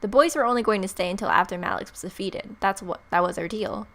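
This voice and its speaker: A woman's voice: mid-range voice, fast, a bit bright. A young woman hosting a let's-play, reading game narration aloud expressively and dropping in playful, casual reactions. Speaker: let's-play video host. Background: game music.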